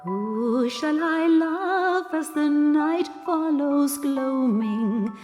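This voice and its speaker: A woman's voice: high-pitched, animated, very slow, a little mellow, soft background music, full character voice. A woman playing a mourner, singing a haunting, sorrowful ballad to a lost love in an audio drama. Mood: mournful. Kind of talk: slow haunting sung ballad. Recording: long cavernous reverb.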